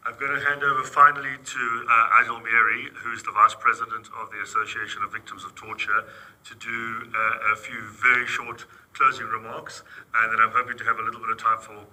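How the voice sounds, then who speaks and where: A man moderating a panel, brisk, with a little stammering. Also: medium pitch, level tone, pushed and bright, low-quality recording.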